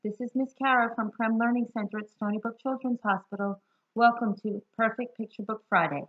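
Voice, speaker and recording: An American woman reading a picture book aloud to young children, expressive and deliberate, putting questions to her listeners. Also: on the high side. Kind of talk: children's read-aloud storytelling. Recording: dull lo-fi sound.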